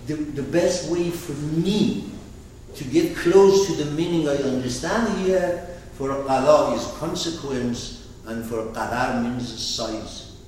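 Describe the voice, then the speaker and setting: A man's voice: medium pitch, takes it slowly, a little mellow, a little hiss or hum. Man with an Arabic accent teaching. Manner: digressive, asks the room questions. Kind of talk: explaining terms to a class. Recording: roomy, far from the mic.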